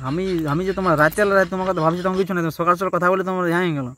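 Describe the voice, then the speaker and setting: A man's voice: sits high, flat delivery, very fast, bright, forceful tone, slight background noise. A young man with a rural western Bengali accent from Purulia, pleading and arguing insistently and melodramatically on a casual prank phone call, recorded outdoors. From West Bengal, India. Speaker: prank caller.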